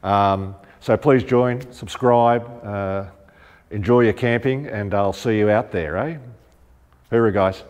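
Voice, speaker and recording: Aussie guy in his 50s showing off tent gear, lowish voice, big warehouse echo and faint music behind. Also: takes it slowly, darkish tone. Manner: chatty, practical, thinks aloud with frequent ums. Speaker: shop presenter demonstrating rooftop tents. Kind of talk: casual product demonstration. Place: large warehouse showroom indoors.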